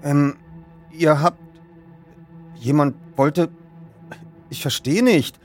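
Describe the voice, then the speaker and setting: A man voicing a bewildered twelve-year-old boy in an audiobook reading, halting and stammering. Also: on the high side, soft background music, voice pushed up. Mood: confused.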